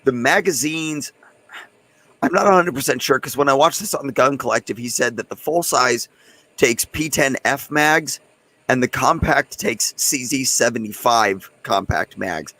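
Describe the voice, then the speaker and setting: A man with a hoarse, fading voice hosting a casual livestream and talking loosely and chattily to his viewers. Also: medium pitch, normal speed, bright, forceful tone. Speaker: host of a live gun channel stream.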